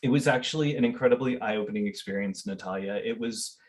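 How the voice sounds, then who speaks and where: A middle-aged man whose first language was Spanish recounts his experiences in an earnest, meandering way. He leans on fillers and false starts. His voice sits on the low side. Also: fast talker. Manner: earnest storytelling with fillers and restarts. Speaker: interview guest. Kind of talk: casual interview chat.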